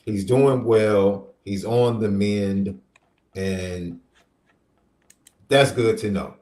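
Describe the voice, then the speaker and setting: Male podcast host, congested from a cold, lively and quick to laugh, recorded in a roomy space. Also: lowish voice. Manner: animated, laughs often, slows for emphasis. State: stuffy from a summer cold. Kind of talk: casual sports podcast chat. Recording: roomy echo.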